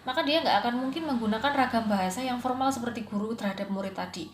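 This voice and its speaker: A woman's voice: fairly high pitch, fast talker. A woman with a Javanese regional accent in Indonesian, a schoolteacher giving an informal explanatory presentation, conversational, posing questions and answering them herself. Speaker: elementary school English teacher presenting coursework. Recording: roomy echo, faint background noise.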